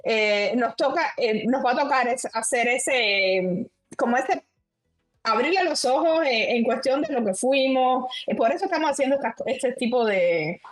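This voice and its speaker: A woman's voice: on the high side, animated, unhurried, soft background music. Earnest Cuban woman host, lots of fillers. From Cuba. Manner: earnest, hesitant with many fillers. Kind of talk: casual talk show chat.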